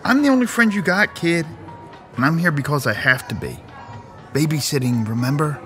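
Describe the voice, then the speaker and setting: Man playing a sneering, sharp-voiced tormentor. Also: fairly high pitch, animated, moderate pace, soft background music. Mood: scornful. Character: mocking, scornful male tormentor babysitting a spoiled young man. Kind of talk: audio drama acting.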